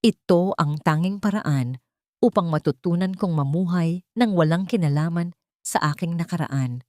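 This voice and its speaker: A Filipino woman voicing a remorseful, humble father in an audiobook drama, solemn and measured. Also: on the low side, a little mellow, no echo, clean studio sound, natural voice. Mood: humble, resigned. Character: remorseful humble father renouncing his family's fortune.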